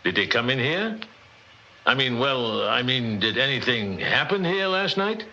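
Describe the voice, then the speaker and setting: Piercing, nosy building superintendent. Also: male voice, medium pitch, expressive, a little slow, pushed and bright, slight background noise, full character voice. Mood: apologetic, prying. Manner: hesitant, roundabout, folksy. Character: nosy, apologetic building superintendent, a family man. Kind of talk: 1960s radio drama acting. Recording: dull old radio recording.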